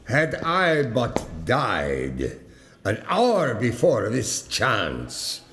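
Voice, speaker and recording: An actor playing a theatrical, dramatic male ambassador who gropes for words and recites verse with grand pauses. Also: gravelly, full character voice. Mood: mournful recital. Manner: slow, searching for words, with sweeping pitch. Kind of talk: audio drama acting.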